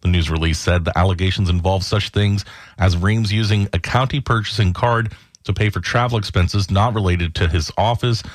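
A male radio news anchor with a very low voice reads headlines in a steady, measured way. The studio sound is dry and close, with no room around it. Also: no echo. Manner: steady, measured newsreader delivery. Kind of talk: scripted news reading.

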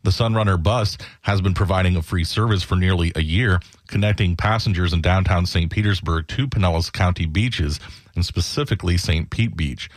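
Male news reporter, very low register, scripted narration in a dry close space. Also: fairly quick, no room sound.

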